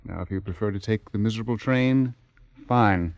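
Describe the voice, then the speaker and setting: A man's voice: on the low side, normal speed, darkish tone, no echo, lo-fi sound, close to an everyday voice. An American actor playing a sardonic, flirtatious theater man in a radio drama, wry and pointed. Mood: needling. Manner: wry, pointed, smooth talker. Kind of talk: 1980s radio drama acting.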